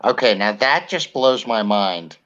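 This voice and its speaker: A man's voice: fairly low pitch, animated, measured pace, a bit bright. American guy from california chatting, jokey, kinda muffled sound. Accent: American, with some Southern words. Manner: joking, puts on mock accents. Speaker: guest. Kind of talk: casual chat. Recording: lo-fi call audio.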